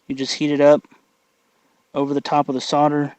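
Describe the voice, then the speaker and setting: A man's voice: a little high, completely flat delivery, a little mellow, dry recording, highs cut off. Man talking through hands-on work, dry sound. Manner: halting, thinking aloud while working. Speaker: tutorial host. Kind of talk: casual hands-on tutorial narration.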